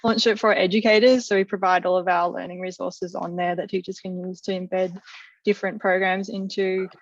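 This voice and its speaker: A woman who leads education programs, losing her voice as she explains them briskly in a video call. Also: lowish voice, level tone, no echo. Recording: dry distant lo-fi call audio.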